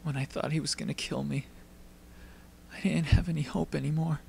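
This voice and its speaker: A man's voice: fairly high pitch, steady tone, normal speed, creaky, darkish tone, slight background noise. A man voicing a hot-tempered, earnest teenage boy alchemist with intense, dramatic anime dub emotion. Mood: earnest, subdued.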